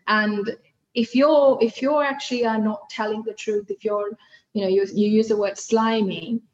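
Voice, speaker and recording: A woman's voice: fairly high pitch, fairly even intonation, normal speed, highs cut off. A middle-aged woman from Fiji, whose first language is Hindi, chatting animatedly as a podcast guest with many fillers and restarts. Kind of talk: casual interview chat.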